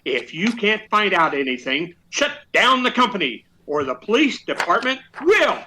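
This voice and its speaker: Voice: mid-range voice, very animated, moderate pace, pushed and bright. An actor playing a bossy, exasperated police sergeant in a radio comedy, blustering, sarcastic and emphatic. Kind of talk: old-time radio comedy acting.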